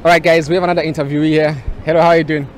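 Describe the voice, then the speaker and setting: A man's voice: a little high, little pitch movement, very fast. A man in his twenties presenting a casual vlog and doing playful, teasing interviews outdoors with a handheld mic. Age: 20s. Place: open beach, outdoors. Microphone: small handheld mic. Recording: outdoor wind and ambient noise.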